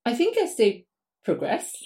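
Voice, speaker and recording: A woman's voice: fairly high pitch, wildly swooping pitch, unhurried, dark tone. A woman from Singapore, an academic guest on a podcast, answering questions conversationally with frequent ums.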